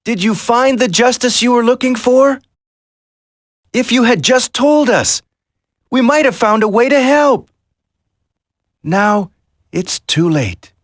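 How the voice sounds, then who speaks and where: A man's voice: very high-pitched, animated, slow, pauses often, dry recording, clean studio sound, heavily acted. A man playing an earnest, determined secret agent hero in a dramatic video game, speaking emphatically. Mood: regretful.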